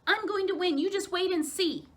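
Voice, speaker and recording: A woman voicing an eager young female moose in a children's story, excitable, playful and determined. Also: extremely high pitch, normal speed, voice pushed up. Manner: excitable, bouncy delivery.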